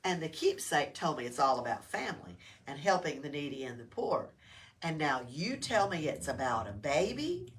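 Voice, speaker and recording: A woman voicing a small, excitable, curious male lizard in a children's storybook, exclaiming in a wide-eyed way. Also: low-pitched, moderate pace. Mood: confused. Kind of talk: children's storybook read-aloud. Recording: distant mic with faint room noise.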